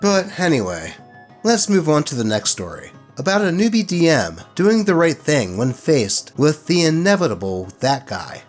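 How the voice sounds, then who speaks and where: A man narrating stories in an expressive, colloquial way, with casual commentary mixed in. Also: fairly high pitch, normal speed, soft background music. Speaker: host reading online tabletop horror stories.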